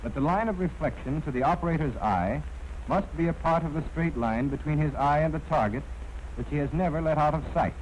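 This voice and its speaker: An American man reading scripted instructional narration in a formal, measured, precisely enunciated way. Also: fairly high pitch. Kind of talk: scripted training film narration. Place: narration recorded for an archival film. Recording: dull, noisy 1940s film soundtrack.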